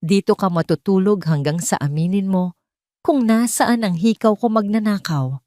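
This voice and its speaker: A woman voicing a cold, menacing wealthy stepmother in an audio storybook, with a sharp, commanding way of accusing. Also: mid-range voice, normal speed, no echo, studio quality, voice pushed up, lightly acted. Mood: angry, accusing. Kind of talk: dramatic audio storybook dialogue.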